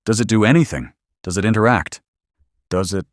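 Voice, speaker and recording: A man playing a skeptical, sarcastic podcast listener growing unnerved by a horror tale, reacting with deadpan disbelief. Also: fairly low pitch, a little fast, dry recording, clean studio sound, close to an everyday voice. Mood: incredulous. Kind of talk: scripted horror podcast banter.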